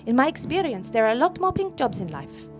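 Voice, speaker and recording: An earnest feminist activist woman who lectures. Her voice is highish and swings widely. Soft music sits behind this radio play. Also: big pitch swings, fairly quick. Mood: earnest, lecturing.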